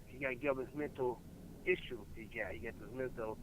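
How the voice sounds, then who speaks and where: A man's voice: mid-range voice, steady tone, a little hiss or hum, rough recording. A man co-hosting a casual sports talk show, agreeing readily and repeating his points. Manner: quick, agreeable, repeats himself.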